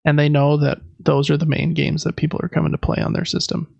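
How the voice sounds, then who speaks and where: A man's voice: fairly high pitch, level tone, fast, creaky, a little mellow, no echo, lo-fi sound. A man hosting a podcast, casually recapping news in a conversational way with explanatory asides. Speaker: podcast host.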